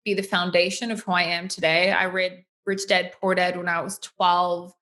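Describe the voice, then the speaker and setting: A woman in her thirties with a New Zealand accent and a bright, crisp tone. She chats in a lively, loose way, full of "like" and "um". She tells her own story as an interview guest. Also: on the low side, normal speed. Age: 30s. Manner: lively and chatty, many fillers like "like" and "um". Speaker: podcast guest.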